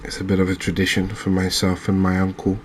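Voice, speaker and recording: A man giving soft-spoken ASMR commentary over a game, relaxed and musing, with unhurried pauses. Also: sits low, slight background noise. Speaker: gaming video host.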